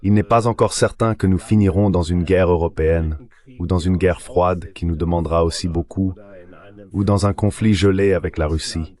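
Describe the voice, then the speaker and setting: A man's voice: deep voice, fairly even intonation, normal speed, darkish tone. A man speaking as an expert guest, giving critical, opinionated answers in a dubbed political interview.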